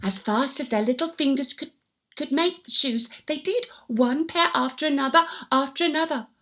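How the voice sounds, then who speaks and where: A woman telling a children's story in an animated, dramatic manner, with repeated sound words and hushed asides. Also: sits high, moderate pace.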